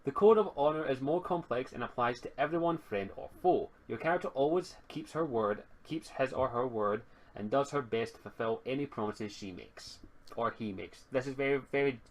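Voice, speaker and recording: A man acting as game master, casually explaining tabletop game rules and reading items haltingly from a rulebook. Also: medium pitch, animated, low-quality recording.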